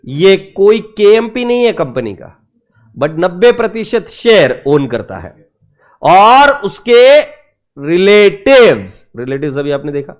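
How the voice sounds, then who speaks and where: Lively man teaching an accounting class. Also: high-pitched, slow pace. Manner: lively teacher who keeps quizzing students with rhetorical questions; teasing and playful with students. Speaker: accounting lecturer. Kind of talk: classroom lecture in mixed Hindi and English.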